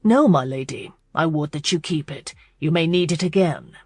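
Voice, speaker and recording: Woman voicing a smooth, lower-pitched arrogant duke. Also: animated, moderate pace, darkish tone, no room sound, soft background music. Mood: smooth, amused. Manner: polished, smooth, courteous. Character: suave, amused aristocratic duke, arrogant charmer.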